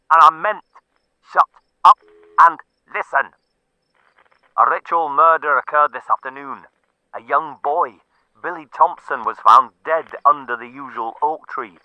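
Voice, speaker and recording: Man playing a forceful, defiant police inspector. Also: on the high side, no echo, voice pushed up, rough recording. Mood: defiant, shouting, then urgent, grim. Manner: insistent, forceful, sardonic.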